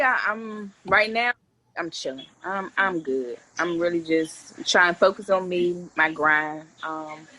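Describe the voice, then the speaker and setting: A woman's voice: lowish voice, fairly bright tone, poor audio. A woman in her forties talking as a guest in a candid, joking casual chat, blunt and full of earthy slang. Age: 40s. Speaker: interview guest, comedian.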